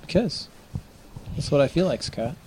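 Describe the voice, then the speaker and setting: Raspy-voiced man with a cold. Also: on the high side, animated, moderate pace, darkish tone, a little hiss or hum, poor audio. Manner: explains things patiently, wry teasing. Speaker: podcast co-host running the draft. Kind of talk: casual banter.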